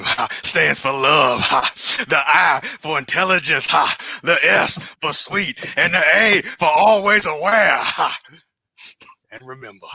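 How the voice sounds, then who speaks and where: An actor playing an effusive preacher in a comedy table read, theatrical and punctuating his phrases with a breathy "ha". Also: on the high side, sharp tone, dry recording, exaggerated voice. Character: showy, effusive preacher hosting a community rally.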